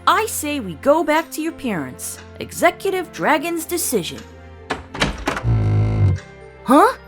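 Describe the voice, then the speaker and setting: A woman voices a little boy dragon who is cranky and sarcastic. his voice is high, and it rises and falls a lot as he grumbles and whines. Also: wildly swooping pitch, slow, over music, heavily acted. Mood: grumpy. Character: young male baby dragon, cranky and whiny. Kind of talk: fan comic dub.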